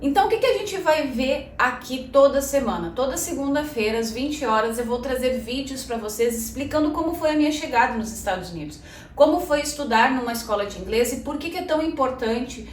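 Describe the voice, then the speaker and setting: A woman's voice: high-pitched, lively intonation, fairly quick, recorded from a distance. A Brazilian woman in her thirties hosting a casual personal vlog, speaking Portuguese with a Brazilian accent, chatty and talking straight to her viewers. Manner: chatty, addresses viewers as "gente", frequent "né". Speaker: channel host. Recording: echoey room, voice a bit off-mic, faint noise.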